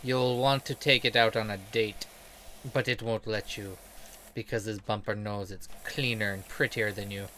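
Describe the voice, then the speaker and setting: A man's voice: fairly low pitch, steady tone, normal speed, sharp tone, slight background noise. A man in a casual, rambling game commentary, sweary, self-deprecating and sarcastic. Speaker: game streamer telling stories while playing.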